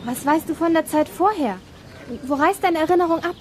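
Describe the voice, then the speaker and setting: A woman's voice: extremely high pitch, fairly quick, darkish tone, slight background noise. An actress playing a caring, worried young girl in a children's audio drama. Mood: concerned.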